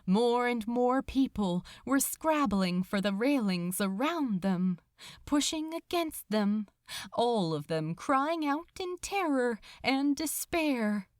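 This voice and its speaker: A woman reading fiction aloud as a narrator, in an expressive, suspenseful and deliberate manner. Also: on the high side, no echo, studio quality. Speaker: audiobook narrator. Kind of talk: dramatic scripted narration of fiction.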